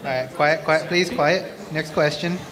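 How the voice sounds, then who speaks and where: A man moderating a casual convention Q&A as a cast member, brisk and dryly playful. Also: a little high, fairly bright tone. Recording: crowded convention hall.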